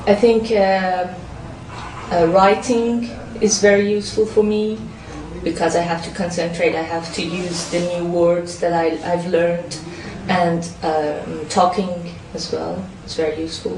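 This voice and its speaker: A woman's voice: lowish voice, steady tone, a little slow. A woman whose first language is Farsi, answering speaking test questions in a hesitant way with many fillers and restarts. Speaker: exam candidate. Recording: roomy, distant mic, clear background noise.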